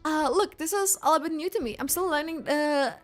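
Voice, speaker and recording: A woman's voice: high voice, a little fast, soft background music. A woman doing casual livestream game commentary, chatting to viewers and thinking aloud as she plays. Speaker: game streamer.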